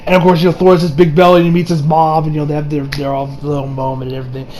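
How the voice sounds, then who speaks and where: Young male video reviewer, high-pitched, excitable and sweary, rambling casually over background noise. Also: racing. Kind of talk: casual movie review chat over gameplay.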